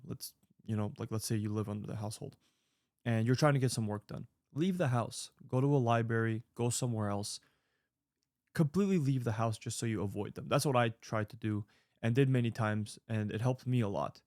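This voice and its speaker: A man's voice: mid-range voice, a little fast, a little mellow, dry recording, studio quality. A man in his late twenties giving casual, earnest advice to viewers, rambling with fillers and restarts. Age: 20s. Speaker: online creator answering viewer mail.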